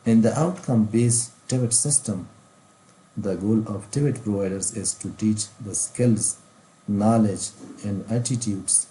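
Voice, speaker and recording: A middle-aged man with an Afghan accent reads out a formal training lecture in a deliberate, phrase-by-phrase way. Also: lowish voice, mellow, poor audio. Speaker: vocational training specialist. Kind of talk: scripted lecture narration over slides. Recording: faint background noise.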